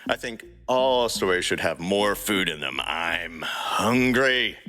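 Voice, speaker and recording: Bright, low-voiced man co-hosting a podcast. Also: lively intonation, takes it slowly. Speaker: podcast co-host. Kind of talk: scripted podcast banter and story introductions.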